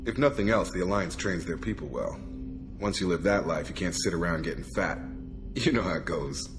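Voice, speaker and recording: A loyal soldier crewman in a space game. His voice is deep and male, his phrasing clipped and no-nonsense, and music sits prominently behind him. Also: expressive, fairly quick. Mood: easygoing, frank. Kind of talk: video game dialogue acting. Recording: prominent background music under the voice.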